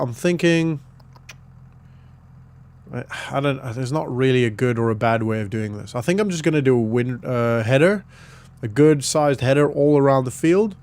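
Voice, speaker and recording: A man with a croaky voice giving relaxed, rambling commentary on a farming game, with many fillers. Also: medium pitch. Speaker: gaming YouTuber. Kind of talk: casual gameplay commentary.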